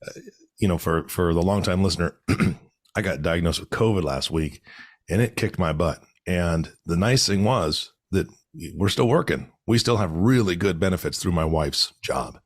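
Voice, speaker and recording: Deep-voiced man, worn down by illness. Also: moderate pace, no room sound, studio quality. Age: 40s. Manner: upbeat, friendly banter. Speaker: podcast co-host. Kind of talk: casual podcast chat.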